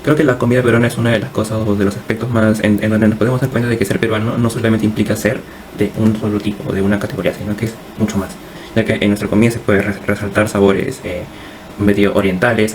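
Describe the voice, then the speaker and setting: Fast, even-toned young Peruvian man. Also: on the low side, slight background noise, low-quality recording. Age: young adult. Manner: hesitant, halting with repeated words. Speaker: university student presenting. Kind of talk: informal class presentation.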